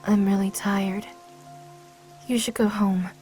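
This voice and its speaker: A woman voicing a prickly, lonely high school student who is quick to argue, in an amateur anime fan dub. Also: expressive, sharp tone, soft background music, heavily acted. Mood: weary, withdrawn.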